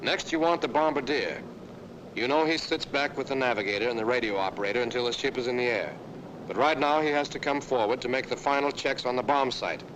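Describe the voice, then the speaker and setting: A man giving scripted instructional narration for a military training film, talking to the viewer directly as "you" in a brisk way. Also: medium pitch, background noise.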